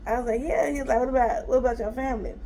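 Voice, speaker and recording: Talkative woman retelling a story, high voice with some gravel, faint hiss behind her. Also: racing. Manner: animated retelling, acts out both sides with quoted dialogue; talkative, laughing, exasperated. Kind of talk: casual storytime vlog.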